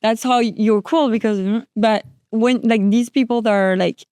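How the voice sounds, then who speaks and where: A woman's voice: fairly high pitch, steady tone, measured pace, darkish tone, smooth, no echo, clean studio sound. A woman guest on a podcast chatting casually, hesitant and full of "like" and restarts. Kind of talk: casual chat.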